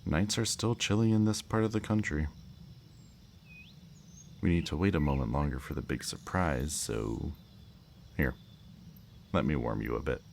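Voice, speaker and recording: Man acting a very deep, smug kidnapper villain. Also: creaky. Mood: amused, coaxing. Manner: slow, teasing, mock-courteous, lingering over words. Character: smug male kidnapper villain, politely menacing and playful. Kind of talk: intimate ASMR audio roleplay, addressing the listener. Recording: faint background noise, chirping night crickets.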